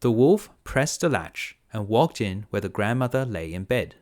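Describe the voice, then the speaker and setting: A man narrating a children's fairy tale as a bedtime storyteller, in a measured, simple delivery. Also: fairly low pitch, big pitch swings, darkish tone.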